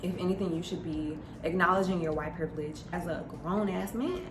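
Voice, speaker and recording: A young woman with a slightly low voice tells a personal story like a vlogger talking to viewers. Her delivery is conversational and emphatic. The room sounds echoey, and music plays behind her. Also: fairly quick. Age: young adult.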